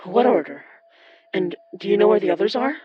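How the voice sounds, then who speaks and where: A man playing a determined, guarded occult investigator in a horror audio drama, with a measured, probing delivery. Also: high voice, animated, dull audio, a little hiss or hum. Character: determined, guarded young occult investigator who summons spirits.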